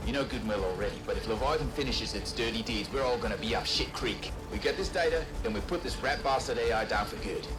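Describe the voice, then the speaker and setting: A folksy, cocky rogue fighter from a video game, played by a man, full of teasing swagger. His medium-pitched voice moves very expressively. Loud music runs behind him. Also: animated, natural voice, rough recording. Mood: scheming, defiant. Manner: jaunty, folksy, drawn-out teasing delivery. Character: cocky, wisecracking rogue male fighter and data thief. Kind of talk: video game character dialogue.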